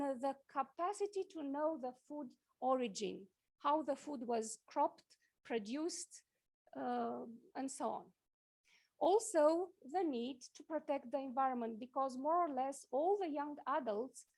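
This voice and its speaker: A woman from Romania, giving a conference talk with slides, halting often with fillers and restarts. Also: high voice, gravelly, darkish tone. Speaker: conference speaker. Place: conference hall.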